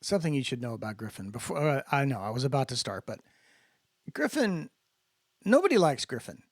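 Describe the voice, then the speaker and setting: A man with a mid-range voice presents a story in an expressive, dramatic reading style. The sound is dry, with hardly any room in it. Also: mid-range voice, a little fast, no room sound. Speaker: narrator reading own book aloud. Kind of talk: casual introduction, then dramatic audiobook-style reading.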